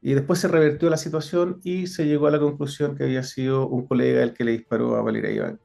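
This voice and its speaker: A man's voice: medium pitch, fairly even intonation, quick pace, gravelly, warm tone. A middle-aged man with a Chilean Spanish accent, a former police investigator telling his story as an interview guest, earnest and full of hesitations and restarts. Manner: frequent hesitations and self-corrections, earnest. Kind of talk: interview, personal account.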